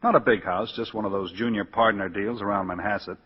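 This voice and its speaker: An actor playing an easygoing, wry young lawyer in a 1940s radio drama, casual and chatty. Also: male voice, on the low side, steady tone, fairly quick, breathy edge. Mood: wry, relaxed. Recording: muffled 1940s radio broadcast.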